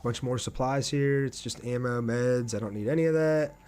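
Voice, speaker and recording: A man's voice: medium pitch, normal speed, darkish tone. A man giving casual gameplay commentary, thinking aloud in an informal way as he plays. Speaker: gaming video host. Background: game music and sounds.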